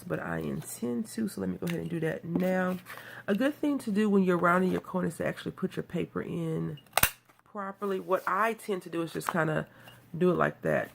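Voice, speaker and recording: A woman with a cold talks through a card-making tutorial in a low, gravelly voice. She sounds a bit nasal and rambles in a chatty, self-deprecating way. Faint noise sits behind her. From Texas, USA. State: has a cold. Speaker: craft video host.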